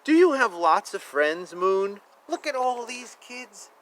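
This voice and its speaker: A man with a high, bright voice reads a picture book aloud to young children outdoors. He takes his time, drawing out words and breaking off for playful, chatty asides. Faint ambient noise sits behind him. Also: sing-song, slow pace.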